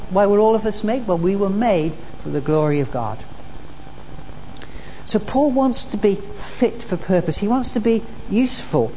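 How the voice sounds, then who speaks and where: A woman's voice: medium pitch, mellow. A woman preacher delivering a sermon, earnest and repeating her key phrases for emphasis. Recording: dull recording in a reverberant hall with faint noise.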